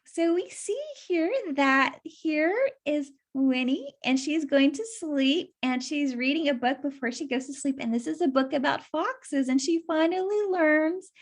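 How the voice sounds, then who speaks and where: A woman's voice: sits high, unhurried, few pauses. A woman reading a children's story aloud and explaining it simply, as if to young listeners.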